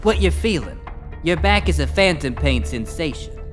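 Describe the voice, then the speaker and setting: A man's voice: a little high, animated, moderate pace, music in the background, natural voice. A man playing a grumpy, brusque inventor in an audio drama, grumbling drily. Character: grumpy male inventor, brusque, secretly kind. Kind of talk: fan audio drama acting.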